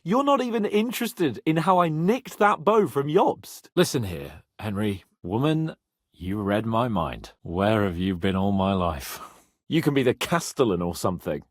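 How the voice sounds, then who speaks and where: A man's voice: on the low side, big pitch swings, a little slow, dry recording, studio quality. An actor voicing a cocky, hot-tempered young medieval nobleman in a video game, with a swaggering, theatrical, haughty delivery. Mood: playful, teasing. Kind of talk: dramatic medieval game dialogue.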